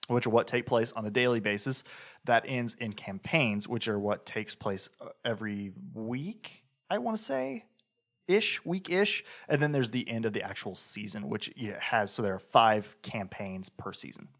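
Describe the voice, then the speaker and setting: A man's voice: on the low side, lively intonation, normal speed, fairly bright tone. A man co-hosting a podcast, reviewing a game in casual chat, talking in a rambling way with lots of fillers and restarts. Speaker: podcast co-host.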